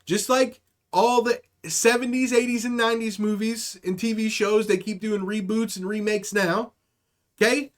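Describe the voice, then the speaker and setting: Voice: medium pitch, steady tone, moderate pace. A live stream comedy host telling stories casually to chat, with slangy, profane language and acted-out quotes.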